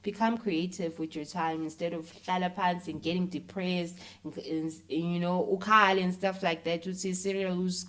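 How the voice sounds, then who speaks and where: A woman with a South African accent talking casually as an interview guest, animated and peppering her speech with "you know". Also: on the low side, normal speed, few pauses.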